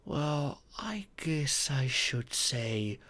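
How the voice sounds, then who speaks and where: Male actor as ancient dragon parent, gentle, formal, patient, very swooping intonation, unhurried, audio roleplay. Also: medium pitch, very animated, exaggerated voice. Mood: confiding. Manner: formal, measured, patient, explanatory. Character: gentle ancient dragon in humanoid form, doting adoptive parent. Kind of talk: scripted audio roleplay monologue.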